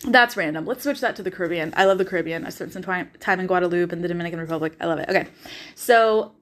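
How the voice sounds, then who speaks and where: A woman's voice: on the low side, fast, slight background noise. Enthusiastic Southern woman podcaster. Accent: Southern American. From American South. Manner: enthusiastic, uses fillers and swearing freely. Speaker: podcast host. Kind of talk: casual motivational monologue.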